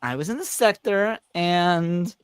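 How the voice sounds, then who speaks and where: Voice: medium pitch, animated, slow pace, clean tone, dry recording, studio quality. A performer reading the part of a theatrical, teasing godlike alien trickster in a live sci-fi script read. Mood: grandiose wheedling.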